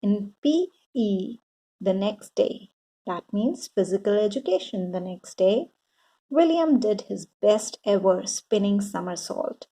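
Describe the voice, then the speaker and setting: A woman reading a children's bedtime story aloud in a deliberate, expressive way for young listeners. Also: medium pitch, slightly rough, low-quality recording.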